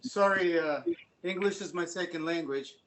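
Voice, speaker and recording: Guy, pretty high voice, earnest and a bit halting, lots of uhs. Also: fairly bright tone, a little hiss or hum, poor audio. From Ukraine. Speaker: resident giving public comment. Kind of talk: public comment at a council meeting.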